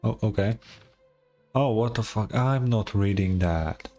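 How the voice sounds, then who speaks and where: A man's voice: lowish voice, a little slow, mellow, faint music behind. A young man giving casual, blunt and sarcastic reaction commentary as a game streamer, swearing freely.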